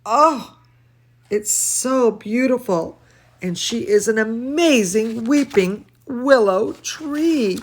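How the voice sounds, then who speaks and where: A woman reading a bedtime storybook aloud to children, expressive and cooing, speaking as if to very young listeners. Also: a little high, slow, a little hiss or hum. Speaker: children's storyteller, dance studio teacher.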